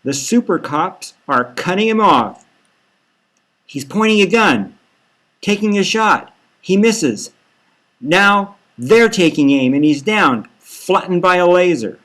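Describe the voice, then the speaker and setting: Excitable news-machine reporter played by a male reader, raised pitch, urgent live commentary for an audio novel. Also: takes it slowly, heavily acted. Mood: excited.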